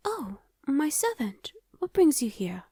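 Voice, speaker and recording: A woman's voice: sits high, sing-song, slow pace, warm tone, no echo, exaggerated voice. A woman playing a proper, anxious young princess in a romantic ASMR roleplay, speaking in a genteel, hesitant way. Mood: relieved, gracious.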